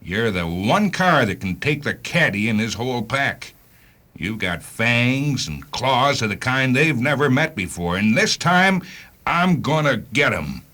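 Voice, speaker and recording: A man acting a driven, vengeful hunter in a radio drama, with an intense, emphatic and sometimes halting delivery. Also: medium pitch, sing-song, pushed and bright. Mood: fierce, obsessed. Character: driven, vengeful male hunter of rogue cars.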